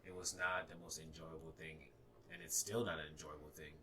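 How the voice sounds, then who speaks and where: A young man from Ghana, a native Ga speaker, with a very low, bright and forceful voice and a slight Ghanaian accent. He talks hesitantly in a casual podcast chat, full of fillers and restarts. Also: steady tone, fairly quick, a little hiss or hum. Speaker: guest.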